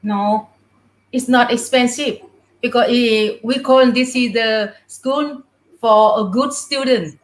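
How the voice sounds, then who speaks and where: A middle-aged woman with a Vietnamese accent speaks in a fairly high voice. She talks as an interview guest in simple, non-fluent English. The sound is a roomy video call. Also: slow pace. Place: indoor room, on a video call.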